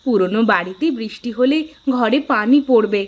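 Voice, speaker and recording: A woman's voice: a little high, moderate pace, dull audio, natural voice. A woman voicing a village wife and home cook in a moral-story cartoon. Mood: hopeful. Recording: music playing under the voice.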